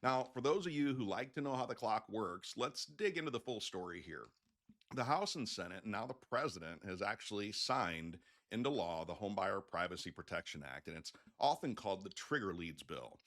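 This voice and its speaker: A man's voice: on the low side, animated, moderate pace, fairly bright tone. A man presenting an explainer to camera in a casual, conversational way with folksy phrasing, like a loan officer updating clients. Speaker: mortgage loan officer hosting an update video.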